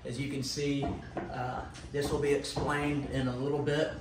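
A middle-aged man giving a casual, unscripted show-and-tell, halting and pausing mid-sentence. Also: medium pitch, flat delivery, very noisy. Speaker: retro computer vlogger. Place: dining room at home, indoors.

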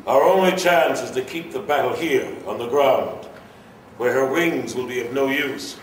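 An actor playing a noble, grave warrior leader in a staged radio play, with stately, measured speech. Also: male voice, mid-range voice, natural voice. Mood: resolute. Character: noble, grave male gargoyle leader turned human, solemn warrior. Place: live convention stage reading. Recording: echoey hall, distant mic, faint noise.

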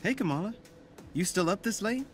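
A man's voice: high voice, animated, brisk, soft background music. A man voicing a friendly, supportive night guard in a video game, talking in a casual, encouraging way.

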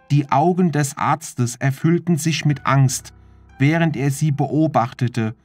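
A narrator reading a scripted horror story in a measured, serious manner. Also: mid-range voice, a little mellow. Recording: eerie music under the voice. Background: horror background music.

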